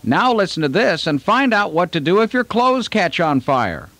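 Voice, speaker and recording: A man's voice: high-pitched, sing-song, moderate pace, heavy background noise. A man playing an earnest children's puppet show host who teaches fire safety directly to young viewers. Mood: upbeat. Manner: instructive, addressing children directly.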